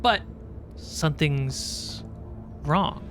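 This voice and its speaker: A man acting as game master, improvising narration for a tabletop game in short, halting phrases. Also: fairly high pitch, animated, music in the background. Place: indoor livestream.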